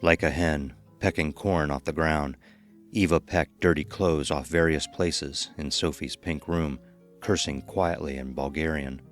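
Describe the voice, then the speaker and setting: A man's voice: extremely low pitch, steady tone, a little slow, soft background music. A man narrating a scripted horror story as an ominous storyteller.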